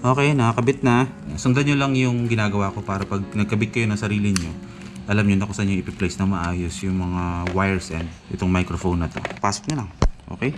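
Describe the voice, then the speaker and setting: A man's voice: fairly low pitch, fairly even intonation, a little fast. A young man casually walking viewers through installing a helmet intercom, often checking in with "okay?". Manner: instructive, talks viewers through each step, frequent "okay?" checks. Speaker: moto vlogger demonstrating gear. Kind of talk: casual how-to walkthrough. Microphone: camera mic. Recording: background music. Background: background music.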